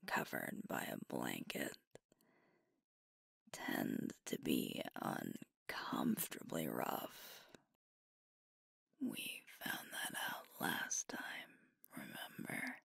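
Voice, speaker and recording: An affectionate, teasing werewolf girlfriend, voiced by a woman in an intimate ASMR roleplay. Her soft voice is very low and full of creak, recorded close and dry. She lingers tenderly over her words with playful pauses. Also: very animated, no echo, full character voice. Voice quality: soft, breathy, whispery. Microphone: close microphone.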